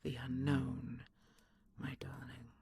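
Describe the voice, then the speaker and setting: A man playing an ancient, secretive but tender dragon in an audio roleplay, with a gravelly, often whispery voice and a measured, intimate delivery. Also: mid-range voice, level tone, darkish tone, slight background noise. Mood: uneasy dread.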